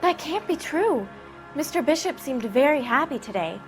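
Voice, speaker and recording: A woman's voice: high voice, very animated, moderate pace, faint music behind. A timid, frightened teenage girl. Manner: timid, pleading, hesitant.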